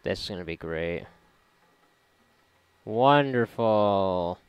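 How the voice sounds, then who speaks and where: Low-voiced man, wry gaming commentary. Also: lively intonation, takes it slowly, smooth, no room sound, dull audio. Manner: wry, sarcastic, rambling. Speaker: gamer commentating on a game playthrough. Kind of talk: casual, profane let's play commentary. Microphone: close mic, voice-over on gameplay.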